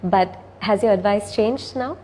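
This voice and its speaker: A woman's voice: mid-range voice, a little slow, warm tone, a little hiss or hum. A woman hosting an interview show, politely asking questions and reading out a contest. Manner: polite, scripted host sign-off. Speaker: show host.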